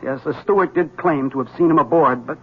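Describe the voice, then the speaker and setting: A haunted, husky desperate man. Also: on the high side, fairly quick. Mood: haunted, urgent. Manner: tense, urgent, bursts of agitation. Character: haunted, desperate ex-husband warning a rival. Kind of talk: 1970s radio drama acting. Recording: dull 1970s radio broadcast.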